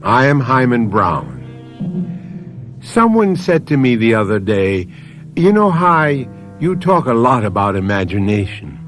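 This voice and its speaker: Slow, expressive male mystery host. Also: medium pitch, faint music behind. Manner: deliberate, storytelling. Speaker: radio drama host. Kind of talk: scripted narration, radio mystery introduction.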